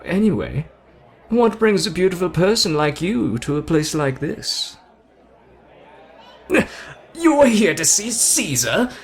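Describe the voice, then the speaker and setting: A man acts out a brash young British show-off in an anime-style ASMR roleplay. He is playful and boastful, with a lightly raised pitch and very animated, theatrical swings. There is some music behind him. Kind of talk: ASMR roleplay addressed to the listener.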